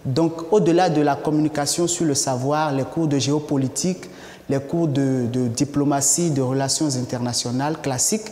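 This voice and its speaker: A man's voice: mid-range voice, steady tone, moderate pace, dark tone. A man from Benin giving a testimonial interview as an international student. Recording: film replayed in echoey, noisy hall.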